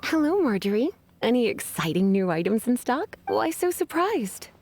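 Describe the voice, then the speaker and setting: Flirtatious, teasing librarian, fairly high-voiced. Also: female voice, sing-song, normal speed, a little mellow. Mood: coy. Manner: coy, teasing, sighing drawl. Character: flirtatious, languid adult female librarian, teasing yet menacing. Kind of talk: video game voice acting.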